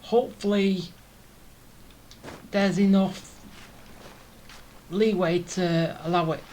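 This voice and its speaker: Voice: mid-range voice, steady tone, slow pace, darkish tone, a little hiss or hum, poor audio. A gamer giving casual, rambling gameplay commentary, thinking aloud. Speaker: gaming streamer.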